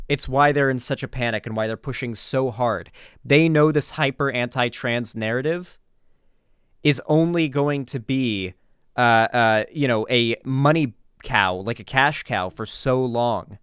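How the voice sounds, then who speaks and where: A man's voice: mid-range voice, measured pace, a bit bright, clear, resonant tone, no room sound, studio quality. A man with an American accent giving casual, opinionated reaction commentary, speaking emphatically and informally. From Florida, United States. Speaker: political commentary streamer.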